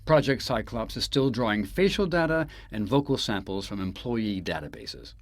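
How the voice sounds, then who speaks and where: An actor playing a controlled, rational senior scientist in an audio drama, a man with a measured, authoritative manner. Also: lowish voice, expressive, dry recording. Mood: firm, dismissive. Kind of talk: binaural audio drama acting.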